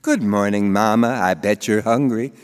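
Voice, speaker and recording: A man voicing a middle-aged woman calming her mother, read live in a reverberant hall. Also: fairly low pitch, moderate pace, clear, resonant tone, close to an everyday voice. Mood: placating.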